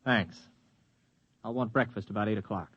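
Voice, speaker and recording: A man's voice: fairly low pitch, steady tone, moderate pace, fairly bright tone, slightly rough, dry recording. An actor playing a blunt young ex-spaceman who acts in and narrates a radio drama in a plain-spoken way. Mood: puzzled, weary. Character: young ex-spaceman hero, blunt, uneasy, narrating his story. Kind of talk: 1950s radio drama acting and first-person narration. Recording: dull lo-fi vintage radio recording.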